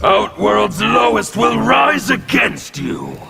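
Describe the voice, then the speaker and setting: A menacing male anarchist warrior whose speech drips with contempt, his gravelly voice swinging widely in pitch. He speaks slowly and deliberately. Loud game music plays beneath the video game dialogue. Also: big pitch swings, heavily acted. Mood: defiant. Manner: contemptuous, threatening delivery.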